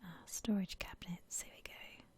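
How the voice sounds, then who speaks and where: A woman whispering softly in a gentle, unhurried, musing way, as in ASMR commentary on a game she is playing. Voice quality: soft breathy whisper. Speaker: gaming ASMR host.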